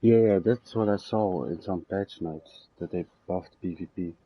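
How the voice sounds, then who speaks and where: A young man chatting casually while playing a game, halting and often trailing off mid-thought. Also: low-pitched, slight background noise. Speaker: game streamer. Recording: dull lo-fi mic, game music behind.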